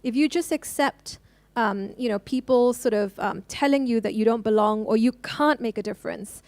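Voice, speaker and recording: A woman from Hong Kong who works as a lawyer speaks on a discussion panel, pausing often with fillers as she thinks. Her voice sits a little high. The sound is dry and close. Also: darkish tone, no room sound. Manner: thoughtful, with many fillers and restarts.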